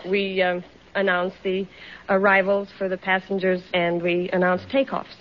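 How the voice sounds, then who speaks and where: A woman's voice: mid-range voice, completely flat delivery, moderate pace. Polite woman on noisy old radio. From Denver, United States. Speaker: contestant, airport announcer. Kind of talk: brief, polite interview answers. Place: radio studio with audience. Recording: dull noisy old radio recording, audience.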